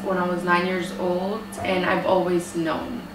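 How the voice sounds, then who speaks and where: A woman's voice: lowish voice, completely flat delivery, measured pace. A young woman speaking matter-of-factly in a documentary interview. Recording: echoey, distant, music behind.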